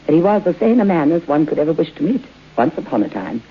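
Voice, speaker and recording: Radio suspense role: middle-aged widow, low husky voice, lively knowing delivery, polite yet unsettling. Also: female voice, quick pace, heavily acted. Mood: ominous, knowing. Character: genteel, eerie middle-aged widow hostess, coyly hospitable. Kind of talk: vintage radio suspense acting. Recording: muffled tinny old radio broadcast.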